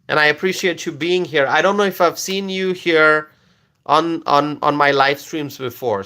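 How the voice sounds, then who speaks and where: A man's voice: a little high, bright, forceful tone. A man from Bangladesh whose first language is Bengali, hosting a live stream where he talks through politics and answers chat questions, repeating points for emphasis and checking in with "okay?". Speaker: livestream political commentator.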